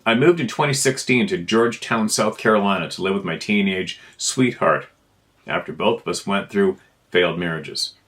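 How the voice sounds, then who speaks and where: A man's voice: low voice, moderate pace, a bit bright. A man reading a first-person account aloud in an informal storytelling style. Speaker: narrator reading listener emails.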